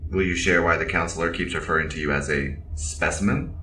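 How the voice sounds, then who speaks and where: Cyborg soldier, low forceful voice, formal, deadpan, reserved, audio drama. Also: male voice, a little fast, a little hiss or hum. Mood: reserved, matter-of-fact. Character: reserved, polite male cyborg officer in armored suit.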